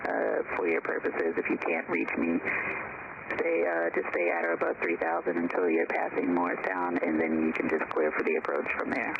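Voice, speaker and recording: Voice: low-pitched, sing-song, moderate pace, gravelly. An air traffic controller giving instructions to a pilot over an aviation radio transmission. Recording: muffled, noisy air traffic radio clip.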